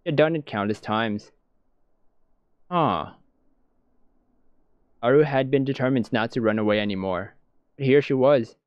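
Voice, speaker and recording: Crisp-voiced man reading aloud. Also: medium pitch, measured pace, long pauses, no echo. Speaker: let's-play reader. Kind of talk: reading visual novel narration aloud with brief reactions. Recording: dull lo-fi sound, music behind.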